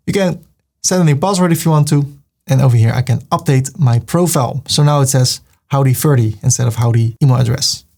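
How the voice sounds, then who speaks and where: A man from the Netherlands, calmly narrating a step-by-step software tutorial and describing each click as he makes it. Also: mid-range voice, vocal fry. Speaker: web design tutor. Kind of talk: screen-recorded software tutorial.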